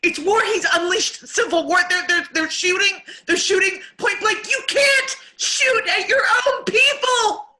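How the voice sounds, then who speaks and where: A woman's voice: very high voice, measured pace, pauses often, sharp tone, heavily acted. An actor playing an alarmed female opposition figure making a pleading public appeal. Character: distraught female opposition speaker.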